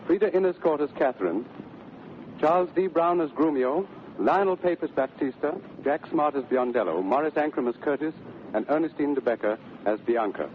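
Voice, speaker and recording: A man's voice: on the high side, expressive, normal speed. A man reading a formal scripted announcement as a 1930s radio announcer. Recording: dull, faintly noisy 1930s radio recording.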